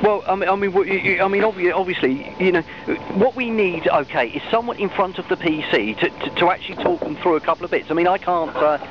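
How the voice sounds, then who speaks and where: Stammering helpline man, higher voice, noisy line. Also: quick pace, few pauses. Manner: stammering, repetitive, earnestly reassuring. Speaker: customer support agent. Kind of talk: helpline phone call. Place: open-plan office, on the phone. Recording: muffled, noisy low-quality phone recording.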